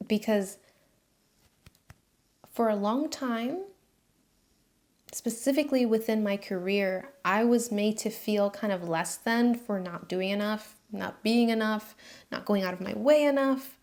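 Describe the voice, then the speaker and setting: A woman's voice: mid-range voice, measured pace, pauses often, a little mellow. A woman in her twenties with an American accent, a freelance designer talking candidly to camera in a casual vlog, with slangy asides. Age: 20s.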